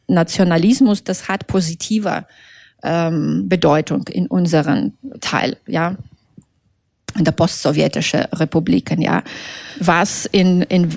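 A woman from Belarus, a native Russian speaker, answering interview questions in halting, careful German, with many fillers and self-corrections. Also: on the low side, a little mellow, dry recording, clean studio sound. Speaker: author being interviewed.

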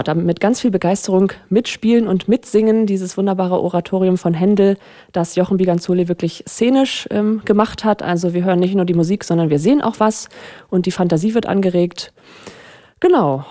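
A woman's voice: fairly low pitch, warm tone. A woman presenting a theatre's programme in an informal, enthusiastic, conversational way. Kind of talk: informal spoken programme announcements.

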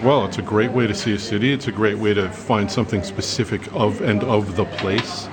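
A man from Brooklyn, a tourist being interviewed in a restaurant, speaking quickly and thoughtfully. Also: on the low side. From Brooklyn, New York. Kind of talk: casual interview. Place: busy restaurant. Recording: crowd chatter behind.

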